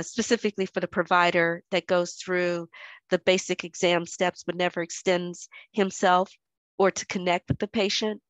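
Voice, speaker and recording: Woman, head cold, stuffy, low-pitched, dry sound, measured reading on a video call. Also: fairly bright tone, no echo, rough recording. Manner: measured, reads the scenario aloud with pauses. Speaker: scenario presenter. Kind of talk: workshop presentation on a video call.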